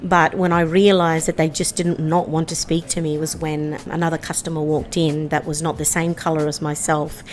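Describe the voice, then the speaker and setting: A woman's voice: low-pitched, flat delivery, moderate pace, few pauses. A middle-aged woman telling a personal story in a reflective, firm, conversational way. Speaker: interviewee.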